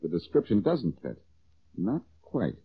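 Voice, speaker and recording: A man's voice: lowish voice, big pitch swings, breathy edge, exaggerated voice. A man playing a wisecracking, cocky amateur detective with sardonic retorts, in an American accent and vintage radio drama style. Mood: shrewd, deducing. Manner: sardonic, quick with dry retorts. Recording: dull, muffled old-time radio recording.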